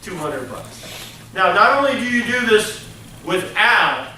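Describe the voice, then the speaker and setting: A man's voice: high-pitched, very animated, a little slow, sharp tone, slight background noise. A man teaching a college hospitality class, animatedly acting out business deals with his students. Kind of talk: interactive classroom lecture. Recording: echoey classroom from far off.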